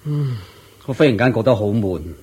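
沙哑谦卑嘅穷家男人. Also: male voice, mid-range voice, lively intonation, moderate pace, darkish tone, husky. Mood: weary, wistful. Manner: deferential, hesitant, sighing. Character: humble, troubled poor layman, devoted and wistful. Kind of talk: Cantonese radio drama acting.

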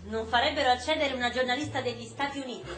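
Woman acting Ellen, a high-voiced, stubborn journalist. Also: fairly even intonation, quick pace, close to an everyday voice. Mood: determined. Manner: insistent, defensive. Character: Ellen, stubborn patriotic American woman journalist, grieving and indignant. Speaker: radio drama actor. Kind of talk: Italian theatrical radio drama dialogue. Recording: roomy stage-like echo.

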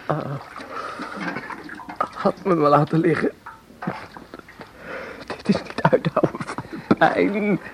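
An actor playing a wounded, weary, idealistic rebel in a Dutch radio drama, speaking haltingly and as if in pain. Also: male voice, a little high. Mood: pained, weak. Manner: laboured, halting, earnest. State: weak and in pain, acted. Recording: noisy old radio play recording.

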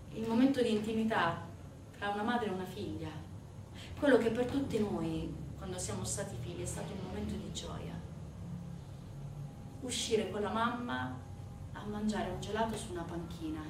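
Recorded from a distance in an echoey room with faint noise, a woman narrates a stage monologue. Her delivery is dramatic and emphatic, moving between hushed and forceful phrases with deliberate pauses. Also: mid-range voice. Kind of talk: dramatic theatrical monologue storytelling.

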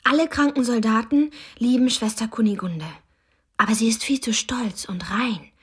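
A pious, dreamy little girl in a radio play. Her voice is high and slightly husky, and she recites with childish reverence, sometimes dropping toward a whisper. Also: normal speed. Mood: hushed, reverent. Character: pious dreamy little girl playing wounded soldiers at night. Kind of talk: German radio play acting.

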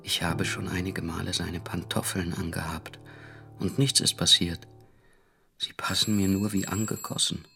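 A man's voice: low-pitched, flat delivery, normal speed, vocal fry, pushed and bright, faint music behind, heavily acted. An actor in a radio drama playing a hesitant, brooding man who muses to himself. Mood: musing.

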